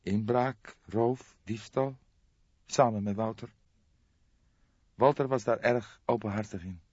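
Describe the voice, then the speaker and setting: A man voicing a distraught, helpless young woman in a tearful, halting way for an audiobook. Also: lowish voice, darkish tone, no echo, lo-fi sound, voice pushed up. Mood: subdued, sad. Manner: wailing, halting, tearful delivery. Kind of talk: audiobook character voice.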